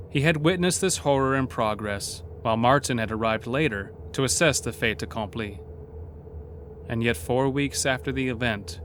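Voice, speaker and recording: A man narrating a scripted horror story in a measured, literary, dramatic manner. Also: mid-range voice, a bit bright, slight background noise.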